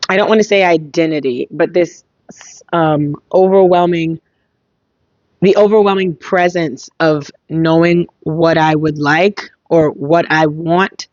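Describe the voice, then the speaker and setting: A young woman hosting a casual solo podcast, thinking aloud in a reflective way, her voice slightly hoarse. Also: low voice, fairly even intonation, a little slow, a little mellow, no echo, lo-fi sound. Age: young adult. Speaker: podcast host.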